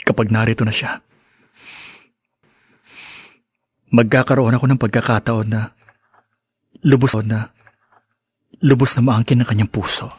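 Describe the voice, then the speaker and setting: An actor as a devoted, self-doubting young man in a melodrama. His mid-pitched voice is breathy and rough-edged, recorded dry, with a halting, heartfelt delivery. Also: steady tone, dry recording, lightly acted. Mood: yearning. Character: earnest, lovelorn, self-deprecating young man, gentle and devoted. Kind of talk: melodramatic radio-style drama acting.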